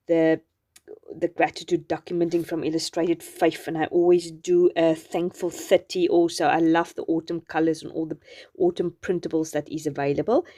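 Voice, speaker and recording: A South African woman whose first language is Afrikaans, chatting gently through a journaling craft voice-over. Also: sits low. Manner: gentle, chatty, meandering with restarts. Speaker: journaling channel host.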